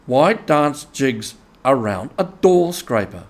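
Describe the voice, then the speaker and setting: A man voicing a grumbling, stubborn mole in a storybook reading, pettish, deliberate and sarcastic. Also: mid-range voice, very animated. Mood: unimpressed, huffy. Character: grumbling, stubborn, literal-minded male mole. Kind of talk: animal character in storybook reading.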